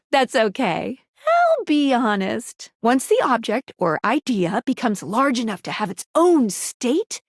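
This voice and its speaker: A woman's voice: on the high side, no echo, clean studio sound, exaggerated voice. A woman voicing a chatty, quirky, upbeat game guide, a talking object who explains things in a playful, nerdy way. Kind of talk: scripted video game character dialogue.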